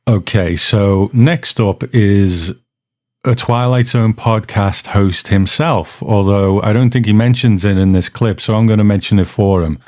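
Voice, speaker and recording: A man's voice: low-pitched, a little mellow, no echo, clean studio sound. A man hosting a podcast, casually introducing a guest in conversational talk. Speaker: podcast host.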